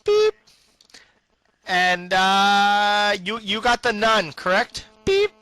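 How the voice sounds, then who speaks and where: A man putting on a bumbling prank caller who speaks haltingly in broken sentences with interjected beeps. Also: sits high, big pitch swings, pushed and bright, smooth, no echo, heavily acted, poor audio. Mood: eager, halting. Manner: halting, broken grammar, interjects the word beep. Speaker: prank caller placing a food order. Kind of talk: improvised prank phone call.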